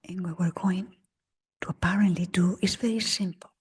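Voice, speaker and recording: A woman leading a guided meditation in a breathy, husky near-whisper, speaking gently in short phrases with long pauses. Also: fairly low pitch, fairly even intonation. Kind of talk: slow guided meditation talk.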